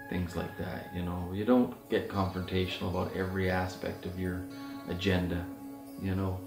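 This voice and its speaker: A middle-aged man talking casually and earnestly in a rambling, conversational storytelling way. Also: on the low side. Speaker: outdoor outfitter and Sasquatch researcher. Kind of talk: casual rambling anecdotal talk. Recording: roomy echo, distant mic, faint music.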